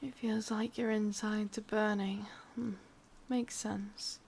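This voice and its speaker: A woman playing a sadistic, possessive, lovesick witch in a whispered ASMR roleplay, speaking intimately in an English accent with a breathy, husky voice. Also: medium pitch, level tone, a little mellow, a little hiss or hum. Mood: coolly curious. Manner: slow, intimate, menacingly tender.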